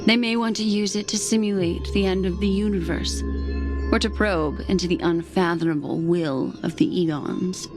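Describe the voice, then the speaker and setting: A poised, secretive memory keeper from a video game, played by a woman, with a fairly low voice. The speech is measured and formal, with music clearly playing behind it. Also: close to an everyday voice. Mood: grave, measured. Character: composed, secretive female keeper of cosmic memories.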